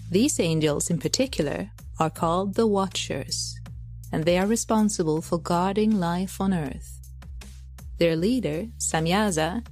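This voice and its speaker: A woman playing an otherworldly divine messenger who was once human, formal and deliberate, in an audio drama. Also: on the low side, darkish tone, natural voice. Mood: storytelling. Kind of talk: scripted audio drama monologue and narration.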